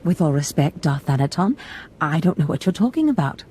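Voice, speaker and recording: A woman playing a smooth, scheming Sith noblewoman in a video game, theatrical, honeyed and polite, with mock innocence. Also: deep voice, big pitch swings, fairly quick, mellow, slight background noise. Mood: feigned innocence.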